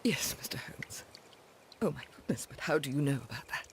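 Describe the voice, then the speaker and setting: A woman's voice: extremely low pitch, lively intonation, moderate pace, whispered. A woman playing a respectable, sorrowful middle-aged widow in a video game, speaking formally and hesitantly. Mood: sad, startled. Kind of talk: scripted game dialogue.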